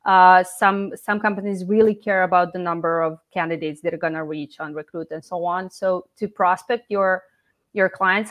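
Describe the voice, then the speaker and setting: A woman's voice: medium pitch, fairly bright tone. A woman whose first language is Serbian, a startup founder speaking English and frankly reviewing her own sales demo. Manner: self-critical, with fillers and restarts.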